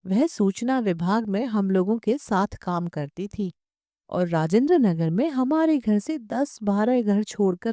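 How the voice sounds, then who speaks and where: A woman reading a literary short story as an audiobook narrator, in measured, expressive storytelling. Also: on the high side, no echo, studio quality.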